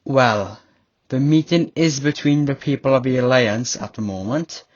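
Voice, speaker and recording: A man's voice: mid-range voice, normal speed, no echo, close to an everyday voice. Impatient fantasy nobleman played by a man, muffled sound. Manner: formal, haughty, impatient. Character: busy, impatient male fantasy noble with meetings to attend. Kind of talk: scripted fantasy audio roleplay.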